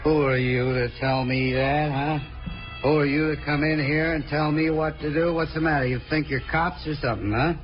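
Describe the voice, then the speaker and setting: Man playing a belligerent drunken thug, slurring and higher-pitched. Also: fairly even intonation, moderate pace, close to an everyday voice. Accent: American. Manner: slurred, blustering, threatening. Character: belligerent, boastful, drunken short stocky male thug. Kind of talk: 1950s radio crime drama acting. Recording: dull vintage radio recording with music.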